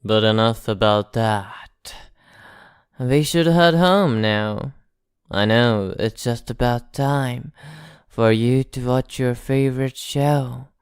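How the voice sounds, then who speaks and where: A woman playing an arrogant, possessive vampire captor in an ASMR roleplay, haughty, teasing and softly threatening. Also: a bass voice, slow pace, clear, resonant tone, no echo, clean studio sound. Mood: possessive, gloating.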